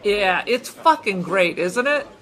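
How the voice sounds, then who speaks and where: A woman's voice: mid-range voice, expressive, slow. A woman playing an opinionated, stubborn small-time crook in an audio drama, speaking bluntly. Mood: chatty. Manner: argumentative, blunt. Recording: busy crowd chatter behind.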